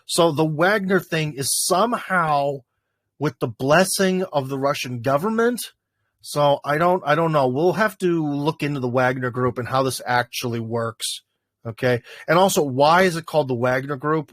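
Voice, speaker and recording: Male livestream host, middle-pitched, loose and rambling, dead dry sound. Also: no echo. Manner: rambling, filler-heavy, thinking aloud. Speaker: livestream host answering viewer questions. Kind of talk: casual livestream chat.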